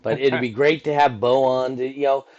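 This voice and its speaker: A man's voice: medium pitch, steady tone, moderate pace, a little mellow. A middle-aged man with a mid-range voice talks through a casual podcast chat, circling back on his words and tossing in "you know" constantly. The audio is a little muffled and dull. He sounds like a seasoned announcer talking off the cuff. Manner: rambling, full of restarts and "you know". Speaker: podcast host and event announcer.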